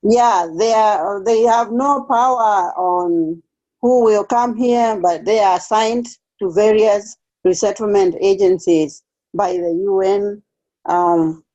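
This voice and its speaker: A Kenyan woman talks slowly and carefully, stopping to restart her sentences as she answers questions in an online seminar. Her voice is mellow and unhurried. The video call audio sounds dull and lo-fi. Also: mid-range voice. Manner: careful, hesitant, with pauses and restarts. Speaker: case aide, guest speaker.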